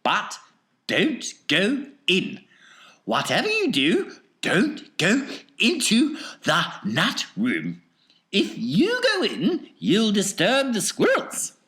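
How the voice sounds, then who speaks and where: A man reading aloud and voicing an excitable, eccentric male factory owner who explains things eagerly and insistently. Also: high voice, slow pace, pitched above the performer's own voice, full character voice. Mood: urgent. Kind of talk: audiobook character voice.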